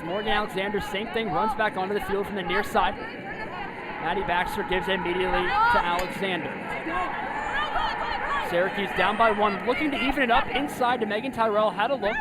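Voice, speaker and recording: A high-voiced American man calls a live lacrosse game. He speaks in clipped, present-tense bursts over a loud crowd and heavy outdoor stadium noise. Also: normal speed. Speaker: play-by-play announcer. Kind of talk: live lacrosse play-by-play commentary.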